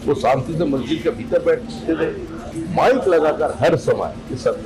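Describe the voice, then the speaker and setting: Man speaking amid clear crowd noise. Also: fairly high pitch, animated, unhurried, poor audio. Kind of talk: news sound bite. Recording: busy crowd noise behind.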